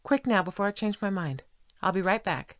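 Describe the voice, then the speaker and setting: An actress playing a weary, teasing, tender estranged wife in an audio play, speaking in a slow, coaxing way. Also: female voice, mid-range voice, animated, a little hiss or hum, close to an everyday voice. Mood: coaxing, seductive.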